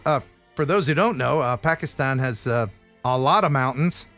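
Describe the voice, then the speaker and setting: A man's voice: mid-range voice, moderate pace, fairly bright tone, soft background music. A man in his fifties hosting a video call interview, enthusiastic, exclaiming and laughing often, speaking into a headset from a home studio. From United States. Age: 50s. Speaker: host. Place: home studio room indoors.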